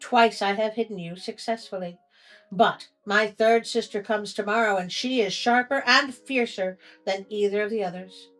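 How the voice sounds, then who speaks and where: A woman's voice: mid-range voice, moderate pace, a bit bright, faint music behind, natural voice, rough recording. A woman playing an anxious, loving storybook queen who protects her son, earnest and old-fashioned in phrasing. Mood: pleading.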